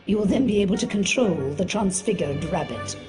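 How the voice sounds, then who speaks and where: A woman's voice: medium pitch, animated, fairly quick, lightly acted. A woman playing a formal, precise teacher at a magic school, giving instructions in a video game. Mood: formal, instructive. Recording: loud music under the voice.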